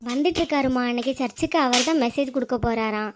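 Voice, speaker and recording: Cartoon role: kind, firm woman passerby, played by a woman, high and fast-talking. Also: background noise, natural voice. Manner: brisk, scolding but kind. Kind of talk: cartoon voice acting.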